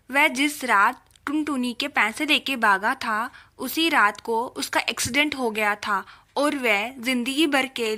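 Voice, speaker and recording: A woman narrates a children's story in a high, bright voice. She takes her time, telling it at a slow, scripted pace.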